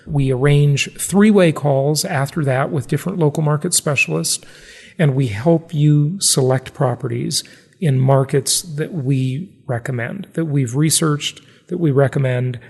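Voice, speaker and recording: Male podcast host, slightly high voice, husky, chatty, self-deprecating, casual delivery. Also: unhurried, darkish tone, big-room sound.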